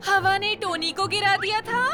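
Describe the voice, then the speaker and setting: Casting: very high childlike cartoon train, caring and easily worried, music playing behind. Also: female voice, fairly even intonation, moderate pace. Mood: curious. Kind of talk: animated children's series dub.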